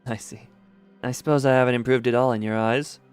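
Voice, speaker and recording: A man reading game dialogue as a cheerful, gentle and courteous young gentleman. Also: mid-range voice, fairly even intonation, a little fast, clean tone, soft background music, pitched below the performer's own voice, natural voice. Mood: mild, accepting. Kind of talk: live read-aloud of video game dialogue.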